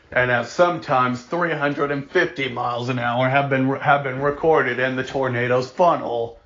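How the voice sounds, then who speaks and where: On a dull, lo-fi recording, a man delivers a mock emergency broadcast. His voice is forceful and a little high for a man. He speaks slowly and solemnly, as an announcer stressing each warning. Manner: solemn, deliberate, emphatic, stumbles and repeats words.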